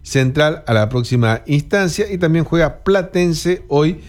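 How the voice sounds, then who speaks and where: A man's voice: a little high, expressive, unhurried. A man with a Rioplatense Argentine accent, co-hosting a radio show and running through football results in an easy, agreeable way. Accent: Rioplatense Spanish. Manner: agreeable, says "claro" often, lists results. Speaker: sports co-host. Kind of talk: casual sports rundown.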